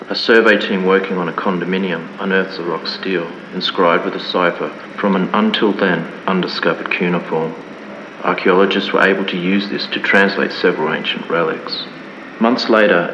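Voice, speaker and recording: A man playing a solemn 1950s documentary narrator, reading a scripted horror mystery in a formal, measured, grave manner. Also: low voice, fairly bright tone. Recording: dull lo-fi, long reverb, clear background noise.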